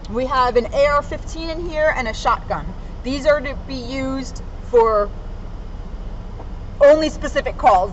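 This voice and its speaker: Woman officer, informal kids' read-aloud and demo presenter, higher-pitched voice, slightly muffled recording with faint noise. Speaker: police officer reading to children and showing police equipment. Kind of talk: story read-aloud and informal explainer for children. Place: in and around a police car. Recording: muffled, low-grade audio with faint noise.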